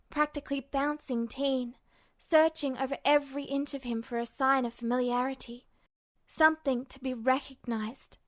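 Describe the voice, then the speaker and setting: A woman's voice: sits high, no room sound. A woman narrating fan fiction as a scripted story reading.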